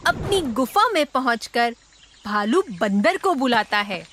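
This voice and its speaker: A woman's voice: on the high side, very animated, takes it slowly, a bit bright, slight background noise. A woman giving scripted narration of a children's story.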